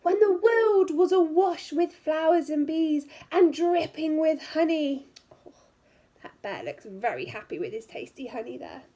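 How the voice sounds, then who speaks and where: A woman teacher reading a picture book aloud to young children in an animated way, drawing out words and dropping into hushed asides. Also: sits high. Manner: animated, drawn-out storytelling with hushed asides and questions to listeners. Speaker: primary school teacher.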